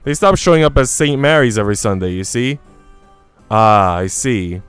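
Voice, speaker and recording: A man's voice: on the low side, normal speed, fairly bright tone, clean tone, soft background music. A man livestreaming a game, talking casually with informal slang and reading story text aloud. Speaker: game streamer.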